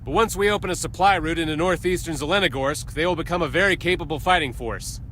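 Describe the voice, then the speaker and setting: An actor playing a stern military captain in a video game, giving a formal, authoritative briefing with clipped delivery. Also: male voice, fairly high pitch, fast, pushed and bright, a little hiss or hum. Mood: stern, commanding.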